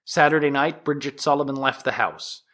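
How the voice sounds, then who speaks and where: A man playing a formal, deliberate 1890s prosecutor addressing a jury in a courtroom drama, in measured oratory with emphatic stresses. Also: mid-range voice, fairly bright tone, lightly acted. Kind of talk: dramatized courtroom opening statement.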